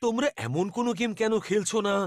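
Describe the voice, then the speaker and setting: A man's voice: sits high, quick pace, faint music behind, lightly acted. A man playing a good-natured, harried father who soothes and placates in a Bengali cartoon dub. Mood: placating. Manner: expressive, placating.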